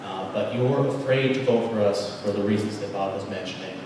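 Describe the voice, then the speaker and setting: A young man, a political candidate, giving a halting closing statement full of fillers into a handheld mic in a large banquet hall. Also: fairly low pitch. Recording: echoey hall from far off, background noise.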